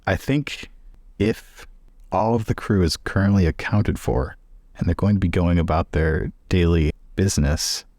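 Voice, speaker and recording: A man's voice: low-pitched, unhurried, a little mellow, dry recording, clean studio sound. A man playing a tabletop game, casually improvising and describing what his character does in the third person.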